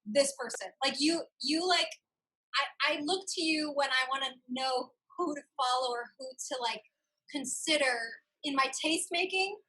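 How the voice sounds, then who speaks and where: A woman's voice: high voice, normal speed, pushed and bright, low-quality recording. A woman hosting a casual interview, speaking informally and effusively as she affirms her guest. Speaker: show host, interviewer.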